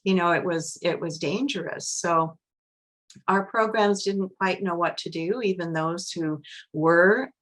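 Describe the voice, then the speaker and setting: Lowish-voiced woman, relaxed webinar presenter, conversational. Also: measured pace, poor audio. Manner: relaxed, chatty, with fillers.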